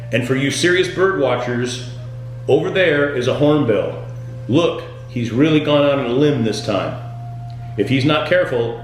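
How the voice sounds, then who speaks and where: A man delivering scripted comedic tour-guide patter as a boat skipper, with deadpan, punning jokes. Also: medium pitch. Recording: very echoey room, music underneath.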